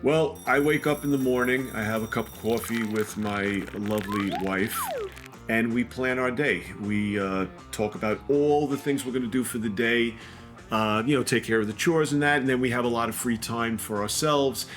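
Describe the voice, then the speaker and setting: An older man from New York City with a New York accent, co-hosting a casual question-and-answer chat with dry, deadpan teasing. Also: medium pitch, normal speed, faint music behind. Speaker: co-host, father of the other host. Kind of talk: casual Q&A banter.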